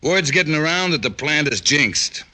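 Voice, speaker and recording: An actor playing a blunt, pushy, self-made factory boss in a mid-century radio drama, talking gruff, slangy tough talk. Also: male voice, a little high, animated, normal speed, sharp tone. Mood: aggrieved, forceful.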